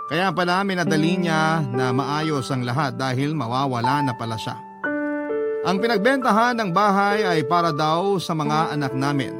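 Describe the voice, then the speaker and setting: A man with a Filipino accent reading a letter as scripted, sentimental radio drama narration in a measured storytelling manner. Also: fairly high pitch. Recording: loud music bed under narration. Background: loud music.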